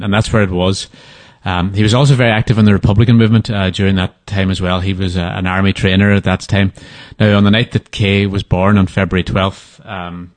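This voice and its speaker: A man's voice: fairly low pitch, no room sound. A man answering interview questions, hesitant, with many restarts and fillers.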